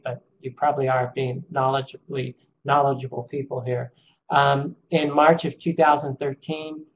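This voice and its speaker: A man with a raspy, strained voice presenting an education webinar over a call, pausing often with ums. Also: medium pitch, a little hiss or hum, low-quality recording. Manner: hesitant, many ums, measured.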